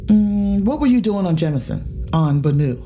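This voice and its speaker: An actress playing a blunt, protective war-veteran chief mate in a science fiction audio drama, with music underneath. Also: female voice, mid-range voice, animated, normal speed, dark tone, close to an everyday voice. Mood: guarded. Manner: blunt, challenging.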